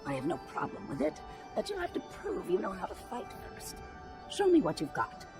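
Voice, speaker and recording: An actor playing a gruff, challenging fighting master in a video game. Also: male voice, sits high, huge pitch swings, brisk, music in the background, full character voice. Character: gruff combative master who tests newcomers.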